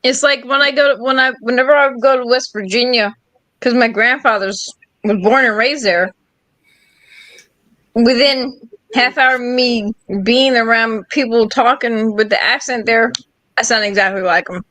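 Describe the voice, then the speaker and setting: A woman hosting a casual chat, chatty and quick to ask questions. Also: a little high, steady tone, a bit bright, no room sound, low-quality recording. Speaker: host.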